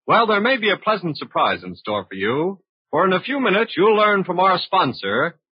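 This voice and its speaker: A man announcing on 1940s radio, formal and declamatory, delivering program titles and sponsor pitches on a vintage broadcast recording. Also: very animated, normal speed, slightly rough, no echo, dull audio. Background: prominent music.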